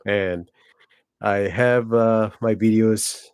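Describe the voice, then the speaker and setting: A man's voice: fairly low pitch, steady tone, extremely slow, darkish tone, clear, resonant tone, dry recording, clean studio sound. A man in his forties from South Korea, a native Korean speaker with a Korean accent, talking casually in a rambling way with many fillers and self-corrections. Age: 40s. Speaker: channel host. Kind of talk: casual personal vlog monologue.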